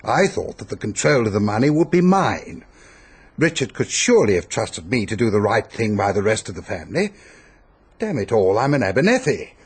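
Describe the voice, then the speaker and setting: An actor in a radio drama playing a pompous, peevish, self-pitying upper-class English hypochondriac who blusters and complains. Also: male voice, lowish voice, very animated, normal speed, slightly rough, full character voice. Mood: resentful, pompous.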